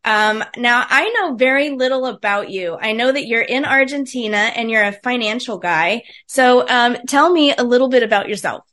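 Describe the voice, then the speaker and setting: A woman's voice: on the high side, expressive, moderate pace, hardly any pauses, fairly bright tone. A woman hosting a podcast interview, asking questions in a friendly, curious way. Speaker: podcast host.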